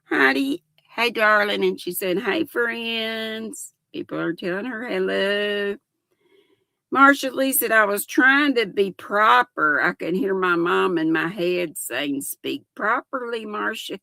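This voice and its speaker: Older lady chatting with her stream viewers, giggly and folksy, kinda gravelly, dry close mic. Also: medium pitch, animated, takes it slowly, sharp tone, no echo. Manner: chatty, folksy, giggly, reads chat aloud. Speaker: livestream host. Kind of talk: casual chat reading viewer comments.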